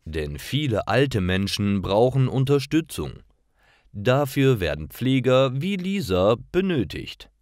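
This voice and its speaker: Male narrator for learner stories: unhurried, lively intonation, deliberate delivery, dry close sound. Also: mid-range voice, no room sound. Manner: clear, deliberate storytelling for learners. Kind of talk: scripted story narration for language learners.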